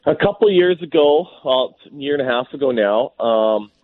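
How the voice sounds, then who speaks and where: A Canadian man in his thirties, hoarse and scratchy from a cold, talking casually and rambling a bit as a guest. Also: medium pitch, expressive, brisk. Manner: rambling, easygoing, restarts often. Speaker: professional bass angler, guest. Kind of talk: casual phone interview. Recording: tinny phone line.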